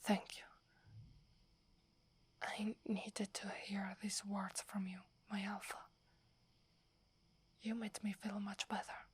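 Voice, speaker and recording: A young female werewolf warrior, acted by a woman in an ASMR roleplay, whispers with an Italian accent. Her delivery is very breathy and slow, staying within a narrow pitch range. She is loyal and hesitant, speaking deferentially to her alpha. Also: little pitch movement. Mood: grateful, tired.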